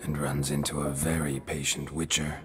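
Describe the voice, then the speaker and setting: An actor playing a world-weary, terse monster hunter in a video game, with a gravelly, hushed growl and a dry deadpan delivery. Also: male voice, rumbling low, normal speed. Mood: terse, impatient. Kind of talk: video game character dialogue. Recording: crowd chatter behind the voice.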